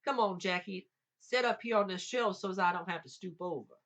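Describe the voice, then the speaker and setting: Man acting a wheedling old mountain giantess, raised pitch. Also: fairly quick, fairly bright tone, lo-fi sound. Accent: Appalachian American. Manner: wheedling, cajoling. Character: coaxing, falsely motherly old giantess.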